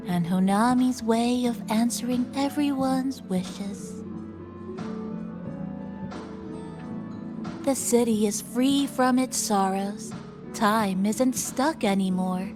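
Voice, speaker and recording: A woman playing a gentle, attentive young female companion in a video game, with a soft, soothing and caring manner. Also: on the high side. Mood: reassuring. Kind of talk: video game character dialogue. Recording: voice over game background music.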